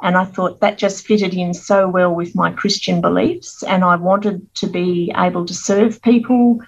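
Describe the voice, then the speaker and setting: A middle-aged Australian woman, a guest author telling her life story in an earnest, measured interview manner. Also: on the low side, fairly even intonation, creaky, lo-fi sound.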